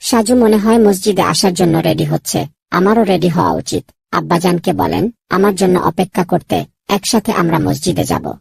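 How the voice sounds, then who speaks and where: Lady doing an excitable little cartoon boy, raspy n creaky, super dry close sound. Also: lowish voice, a little fast, dry recording, heavily acted. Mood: eager. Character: excitable, friendly young boy eager for Eid gifts. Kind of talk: children's cartoon dialogue.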